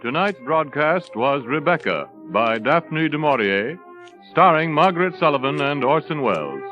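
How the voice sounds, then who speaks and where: A man's voice: on the high side, big pitch swings, moderate pace. A man reading credits in the formal style of a 1930s radio announcer. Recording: muffled 1930s radio, music behind.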